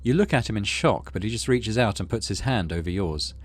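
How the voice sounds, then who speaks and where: A man's voice: lowish voice, brisk. A man hosting a gaming channel, reading game narration aloud expressively and adding casual commentary. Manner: expressive storyteller reading with asides.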